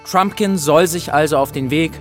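A young actor playing a gracious, resolute and earnest prince in a radio play. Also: male voice, fairly high pitch, moderate pace, soft background music, close to an everyday voice. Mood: gracious, questioning.

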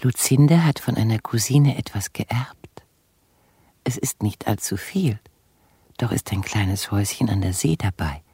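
A woman acting in a radio drama as a gentle, genteel letter writer, reading in a tender, formal, breathy voice. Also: rumbling low, lively intonation, unhurried, dry recording, exaggerated voice. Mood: tender, solemn. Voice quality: breathy, husky. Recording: dry close voice over music.

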